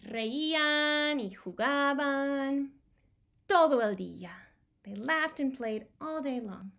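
Slow, higher-pitched woman reading to children. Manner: expressive, explaining and repeating key words for children. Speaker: children's storytime presenter. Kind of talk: bilingual picture-book read-aloud for young children. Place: small home room with bookshelves, indoors.

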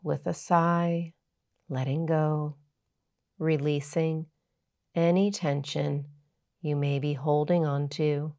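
A woman yoga teacher narrating a guided meditation in a soothing, measured, unhurried way. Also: low voice, steady tone, a little mellow.

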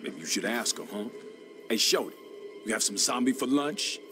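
An actor playing a blunt, mocking, impatient survivor in a video game cutscene. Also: male voice, fairly low pitch, animated, moderate pace, music in the background, close to an everyday voice. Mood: sarcastic, impatient. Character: gruff, sarcastic, impatient survivor man.

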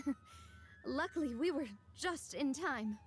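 A woman's voice: high voice, lively intonation, slow pace. A woman playing a confident, poised and resolute young female leader in an anime video game. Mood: reassuring. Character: confident, gallant young woman leading a faction. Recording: music under the voice.

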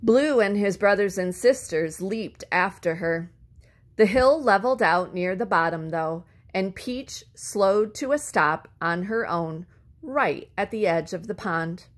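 A woman reading a children's story aloud expressively, with deliberate phrasing and words drawn out for effect. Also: medium pitch, lively intonation. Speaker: storybook reader.

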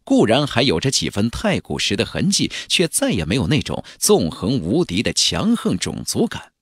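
A man narrating a fantasy audiobook in a measured, theatrical storytelling delivery. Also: mid-range voice, huge pitch swings, slightly rough, no echo, studio quality. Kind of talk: dramatic scripted narration of a fantasy novel.

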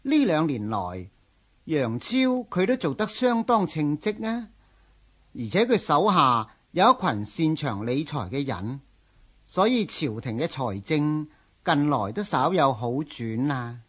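An actor playing a deferential court attendant in a radio drama, with a husky voice and a Hong Kong Cantonese accent. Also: male voice, on the high side, big pitch swings, measured pace, fairly bright tone, full character voice. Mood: deferential, persuasive.

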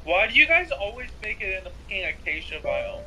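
A man's voice: high-pitched, big pitch swings, a little fast, pushed and bright, a little hiss or hum, low-quality recording. A man chatting casually as one of the players in a group game. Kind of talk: casual gaming chat.

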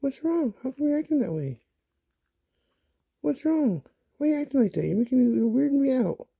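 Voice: high-pitched, very animated, a little fast, creaky, breathy edge, no room sound, dull audio. A pet owner talking casually to a dog, coaxing and repeating questions in baby talk, recorded on a handheld phone in a small bedroom. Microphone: handheld phone held near the speaker.

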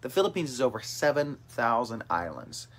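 A man's voice: medium pitch, very animated, moderate pace, a bit bright. Late-20s man, upbeat livestream host, phone at arm's length, small bedroom. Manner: upbeat, reads out viewer comments. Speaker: host of a family livestream chat. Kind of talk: casual chat. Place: small bedroom with brothers on a couch. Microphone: phone camera held at arm's length.

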